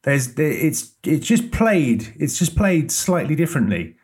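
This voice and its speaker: Teasing man with a low, husky-edged voice. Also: very animated, measured pace, a little mellow. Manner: teasing, questioning, repeats phrases. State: voice lower than usual. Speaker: podcast co-host. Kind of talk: casual chat.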